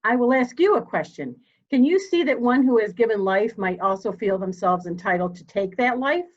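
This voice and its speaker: A woman's voice: fairly high pitch, lively intonation. Woman playing a dignified elderly matriarch, slightly muffled. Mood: solemn. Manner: formal, deliberate, commanding. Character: elderly dying matriarch, dignified, steely, grieving mother. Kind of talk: dramatic reading of a murder mystery.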